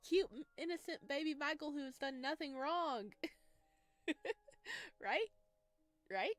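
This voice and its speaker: High-voiced woman gushing through a game playthrough. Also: takes it slowly, dry recording. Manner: gushing, expressive. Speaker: streamer playing a visual novel. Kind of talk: game playthrough with read-aloud narration and excited reactions.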